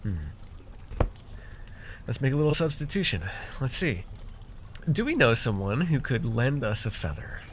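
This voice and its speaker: A man's voice: mid-range voice, very animated, a little slow, long pauses, a little hiss or hum. A man playing a chatty, wry and friendly fae potion brewer in a fantasy audio roleplay, talking to the listener with hesitant asides. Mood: chatty, focused.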